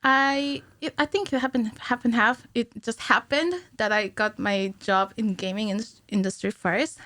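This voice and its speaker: A woman's voice: on the high side, fairly even intonation, moderate pace, sharp tone, no echo. A woman in her thirties talking informally as a podcast guest, thinking aloud in short bursts. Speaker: podcast guest, cinematic animator. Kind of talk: casual interview chat. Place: small indoor podcast studio. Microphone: studio mic on a stand, headphones.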